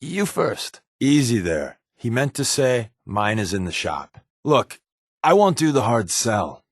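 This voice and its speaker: An actor playing a wisecracking, sardonic spy companion in a video game, with casual scripted dialogue. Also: male voice, mid-range voice, big pitch swings, slow pace, no echo, clean studio sound, heavily acted. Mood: breezy, teasing. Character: wry, joking male spy companion with dry swagger.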